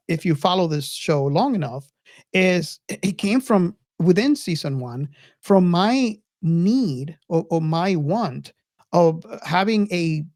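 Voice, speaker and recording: A woman's voice: fairly low pitch, darkish tone, dry recording, studio quality. A woman hosting a podcast in a casual, enthusiastic and earnest way, often repeating and restarting her words. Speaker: podcast host.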